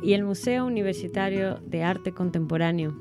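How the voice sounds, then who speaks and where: A woman's voice: medium pitch, moderate pace. A woman co-hosting a podcast in a partly scripted presenting style. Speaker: podcast co-host. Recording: guitar music under the voice. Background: guitar music.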